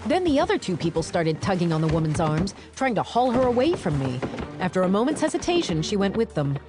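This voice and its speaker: A woman narrating a dramatized audiobook in the first person, with wry, expressive storytelling. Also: lowish voice, brisk, over loud music.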